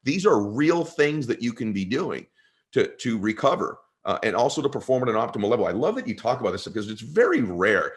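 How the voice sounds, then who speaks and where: A middle-aged man with a deep voice hosting a podcast interview, talking quickly and emphatically and often turning to the listeners. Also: big pitch swings, breathy edge. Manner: fast-talking, emphatic, addresses listeners as "guys". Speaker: podcast host. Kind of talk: conversational interview.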